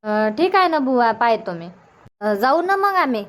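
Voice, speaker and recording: A woman playing a chatty, bossy yet easygoing village mother in a cartoon comedy, with a Varhadi Marathi accent and drawn-out, repetitive talk. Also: on the high side, big pitch swings. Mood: chatty, planning. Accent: Varhadi Marathi (Vidarbha). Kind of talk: homemade cartoon comedy dub.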